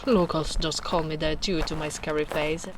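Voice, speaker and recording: A woman playing a gentle, caring big ogre in a soft-spoken ASMR roleplay, musing in an unhurried way. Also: low voice, little pitch movement, noisy background, natural voice. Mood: firm, caring.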